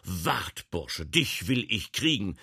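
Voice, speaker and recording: A man voicing a pompous, excitable, self-important village magistrate in a storybook, full of indignation. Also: lowish voice, takes it slowly, creaky, husky, dry recording, studio quality, exaggerated voice. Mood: indignant, blustering.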